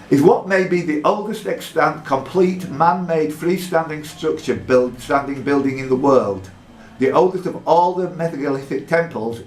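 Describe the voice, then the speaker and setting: A man with a slightly high voice acts as quiz master in an echoey room with faint noise behind him. He reads questions clue by clue, deliberately calling out the points on offer. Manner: deliberate, announcing point values between clues. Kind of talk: reading buzzer quiz questions aloud. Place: pub room with contestants.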